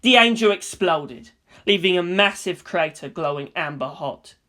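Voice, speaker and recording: A woman's voice: fairly low pitch, expressive, unhurried, fairly bright tone. A woman reading scripted story narration in a dramatic style.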